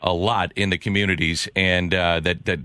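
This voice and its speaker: A man's voice: sits low, little pitch movement, moderate pace, pushed and bright, clear, resonant tone, dry recording. A male sports radio host asking long, rambling questions full of praise.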